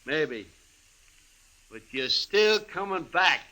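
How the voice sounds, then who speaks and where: An actor playing a menacing American outlaw boss, blunt and threatening, in an old-time radio western. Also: male voice, fairly high pitch, sing-song, slow pace, pushed and bright, exaggerated voice, low-quality recording.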